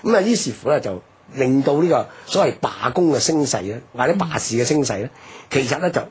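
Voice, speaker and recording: A man with a Hong Kong Cantonese accent gives an unscripted, emphatic explanation as a history commentator on radio, his voice husky and rough. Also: mid-range voice, expressive, brisk, a little mellow. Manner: explanatory, emphatic, frequent rhetorical tags. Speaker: history commentator, programme guest.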